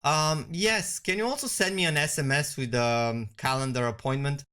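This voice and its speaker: A man giving a conversational software walkthrough as a product demonstrator. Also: fairly high pitch, moderate pace, clear, resonant tone.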